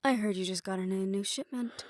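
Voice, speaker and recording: A woman's voice: medium pitch, fairly quick, no echo, clean studio sound, natural voice. A woman playing a poised, imperious hotel manager in an audio roleplay, speaking formally and with polite condescension. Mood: courteous, composed. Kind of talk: scripted audio roleplay addressed to the listener.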